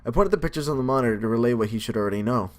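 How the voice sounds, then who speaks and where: A man's voice: medium pitch, very fast. A man hosting a gaming video, reading game text aloud and adding casual commentary.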